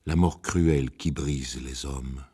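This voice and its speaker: A man with a very low-pitched voice recites ancient epic verse. His delivery is very slow, grave and measured, with a solemn, declamatory weight given to each phrase. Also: steady tone, warm tone, slightly rough. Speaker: reader of ancient epic poetry. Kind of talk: solemn dramatic poetry reading.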